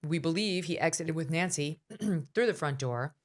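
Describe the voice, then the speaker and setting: A middle-aged woman hosting a podcast interview, telling stories in a quick, animated way. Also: sits low. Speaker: host.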